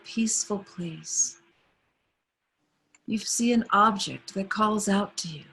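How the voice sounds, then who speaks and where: A woman leading a guided meditation on a video call, speaking softly and soothingly. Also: medium pitch, husky, low-quality recording. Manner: soft, unhurried, soothing.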